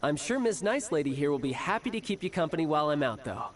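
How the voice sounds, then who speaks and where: A man's voice: a little high, sing-song, fast talker, a little hiss or hum. A man voicing a cocky, playful young male warrior in a game cutscene, teasing and mock-formal, with music behind. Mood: breezy. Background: background music.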